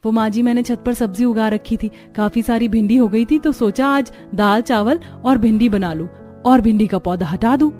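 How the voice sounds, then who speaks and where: A woman voicing a gentle, dutiful young village daughter-in-law in a cartoon story, polite and earnest. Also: fairly high pitch, normal speed, faint music behind, natural voice. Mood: earnest, apologetic. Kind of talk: cartoon moral story dialogue.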